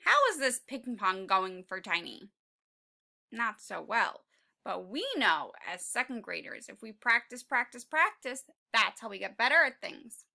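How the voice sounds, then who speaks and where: A woman reading a story aloud as a teacher, expressive and encouraging, pausing to put questions to the children. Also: mid-range voice, creaky. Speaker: second grade teacher.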